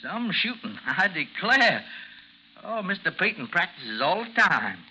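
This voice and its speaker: Give me a high-voiced, timid Southern landlord. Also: male voice, very animated, a little slow, sharp tone, full character voice. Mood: folksy, chatty. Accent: American South. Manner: stammering, hesitant, folksy. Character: timid, chatty, deferential Southern landlord, old veteran soldier. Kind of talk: 1950s radio drama acting. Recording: noisy 1950s radio broadcast recording.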